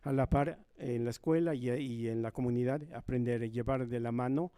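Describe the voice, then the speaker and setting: Dark-voiced Mexican man, Nahuatl speaker. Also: mid-range voice, fairly even intonation, normal speed, smooth, no room sound. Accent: Mexican Spanish. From Milpa Alta, Mexico City. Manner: earnest, repeats himself, often checks in with the audience. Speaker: invited community speaker. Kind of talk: conversational public talk.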